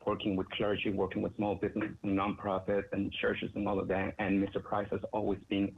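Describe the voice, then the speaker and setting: A man from El Salvador, calling in to give an earnest, somewhat halting public comment. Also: fairly low pitch, completely flat delivery, vocal fry, slightly rough. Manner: earnest, repetitive, slightly halting. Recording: tinny phone line with faint noise.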